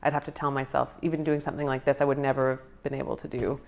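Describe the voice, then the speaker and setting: A woman's voice: extremely low pitch, flat delivery, fast. A woman who works as a hairstylist, speaking as a podcast guest in a reflective, somewhat hesitant way. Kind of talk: casual conversation.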